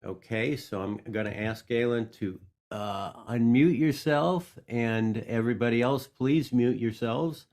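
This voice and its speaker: A man hosting an online storytelling session, moderating with brisk, informal directions over a video call. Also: medium pitch, a little mellow. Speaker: host. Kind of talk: moderating a workshop.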